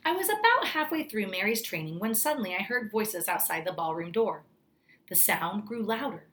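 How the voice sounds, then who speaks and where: A woman reads a children's storybook aloud in a medium-pitched voice. She sounds like a teacher, giving the narration expression and explaining things for young listeners as she goes. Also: very animated, moderate pace, a bit bright. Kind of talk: children's story read-aloud with brief asides.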